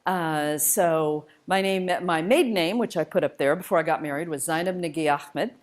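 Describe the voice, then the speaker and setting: Woman speaker, slightly low voice. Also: moderate pace. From United States and Malaysia, born in India. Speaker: guest speaker, spacecraft engineer. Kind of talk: informal public lecture with personal stories.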